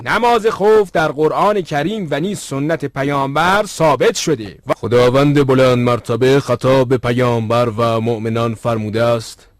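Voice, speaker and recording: مردی در نقش مسافری جدی با صدایی میانه. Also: male voice, mid-range voice, hardly any pauses, no room sound, lightly acted. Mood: solemn. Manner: earnest, formal diction. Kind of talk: dubbed cartoon dialogue.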